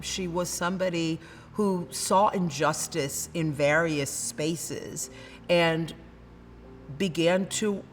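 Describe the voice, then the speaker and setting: A woman's voice: on the low side, in a large hall, a little hiss or hum. A woman literature professor with a Northern US accent, speaking earnestly and building her points through repeated phrases. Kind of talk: dramatic reading then reflective commentary.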